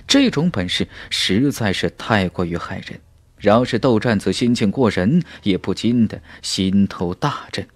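Male narrator for fantasy audiobook: unhurried, theatrical delivery, widely swinging pitch, suspenseful pauses. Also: no echo. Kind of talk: dramatic scripted narration of a fantasy novel.

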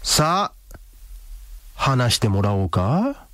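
A ruthless, sardonic schemer in a radio drama. He is commanding and dry-witted, with wide pitch movement and a close, dry studio sound. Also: very animated, slow pace, fairly bright tone, no room sound. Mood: coolly threatening. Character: cool, ruthless, sardonic man blackmailing a villain.

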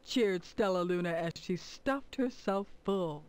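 A woman working as a gentle narrator, reading a scripted children's storybook. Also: lowish voice, animated, measured pace, smooth. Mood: storytelling.

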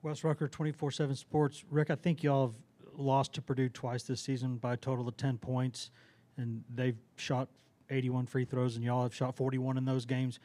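A man's voice: medium pitch, level tone, normal speed, warm tone. A man working as a sports reporter, putting a question at a press conference.

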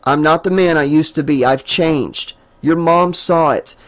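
A man reading an audiobook, voicing a desperate young man who talks low and insistently. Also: measured pace, natural voice. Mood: earnest. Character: young man, desperate, trying to protect her.

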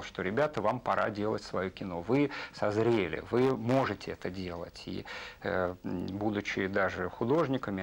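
A middle-aged man speaking haltingly in a documentary interview, pausing often as he phrases his thoughts. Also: on the low side, gravelly. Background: loud music.

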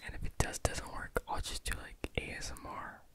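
Young ASMR host speaking in a whisper. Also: moderate pace. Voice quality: unvoiced whisper. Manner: rambling, slangy, casual. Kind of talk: whispered ASMR storytelling, casual chat.

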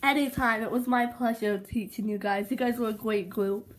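A woman's voice: fairly high pitch, steady tone, moderate pace, lightly acted. A woman voicing a friendly male teacher in a plush toy home video, chatty and rambling, recorded on a phone at home. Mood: cheerful.

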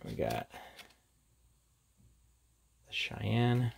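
A man's voice: fairly low pitch, big pitch swings, drawn-out words, gravelly, breathy edge. A man giving a casual, off-the-cuff product review in an informal, chatty way as he presents. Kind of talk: casual unboxing and review chat.

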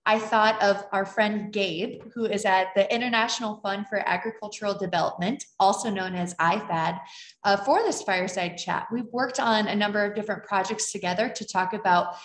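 A woman's voice: mid-range voice, moderate pace. Woman hosting a zoom session, friendly and upbeat, echoey room. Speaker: moderator of an online event. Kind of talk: welcoming introduction and interview. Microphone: video call. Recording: roomy video call audio.

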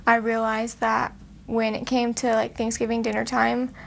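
Hesitant young woman with a gravelly edge. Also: fairly high pitch, completely flat delivery, normal speed, a little hiss or hum. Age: young adult. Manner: hesitant, many fillers. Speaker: interviewee. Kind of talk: interview.

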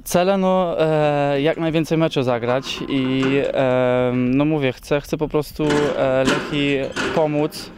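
A young man, a football player, giving an interview outdoors at a training ground, halting and full of fillers and repeated words. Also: on the high side, steady tone, background noise. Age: young adult.

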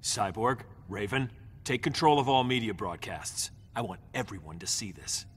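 Superman as a husky tyrant. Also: on the low side, lively intonation, normal speed. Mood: commanding. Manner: cold, commanding, threatening. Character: tyrannical male superhero turned ruthless dictator.

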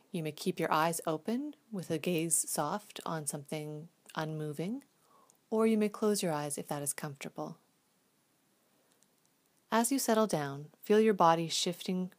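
A woman with a slightly low voice guides a calming visualization. She speaks gently and soothingly, in a hushed, unhurried way suited to meditation.

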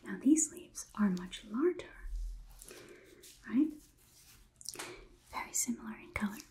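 A young woman performing an ASMR roleplay as a calm robot overlord, whispering in a measured, inquisitive, slightly condescending manner. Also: mid-range voice, close to an everyday voice. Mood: calm, explanatory. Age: young adult. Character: calm, clinical robot overlord examining a human. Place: small indoor room.

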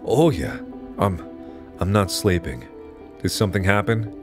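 A man's voice: sits low, very animated, a little slow, music in the background. A man voicing a young boy, a reassuring and hesitant older brother in a horror game. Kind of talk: horror visual novel character reading.

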